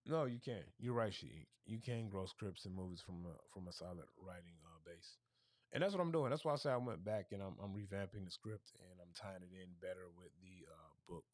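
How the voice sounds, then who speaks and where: A man hosting a casual livestream, talking through his plans in a rambling way and going hoarse. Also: low-pitched, a little mellow, dry recording, studio quality. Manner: rambling, thinking aloud with restarts. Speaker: livestream host.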